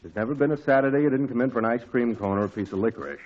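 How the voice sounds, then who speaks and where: A good-natured neighborhood caterer voiced by a man haggles in a drawling, mid-pitched voice. The recording sounds dull and lo-fi, like an old radio broadcast. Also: very fast, slight background noise, natural voice. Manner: folksy, drawling, bargaining. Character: kindly older male shopkeeper and caterer, folksy. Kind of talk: 1940s radio acting.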